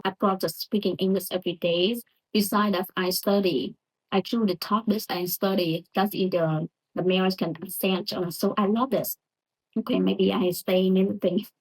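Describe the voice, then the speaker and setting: A woman's voice: medium pitch, breathy edge, rough recording. A woman with a Vietnamese accent, a Vietnamese native speaker, giving a hesitant pronunciation practice lesson in broken English with many restarts. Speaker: English learner vlogger.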